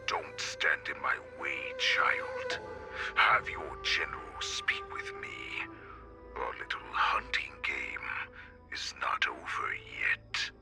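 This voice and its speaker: A male actor playing a ruthless wolf-beast warlord in a video game, with a growling voice and slow, menacing, grandiose delivery. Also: extremely low pitch, wildly swooping pitch, music in the background, full character voice. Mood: mocking menace. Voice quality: gravelly, growling, breathy snarls. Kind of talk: dramatic video game villain acting.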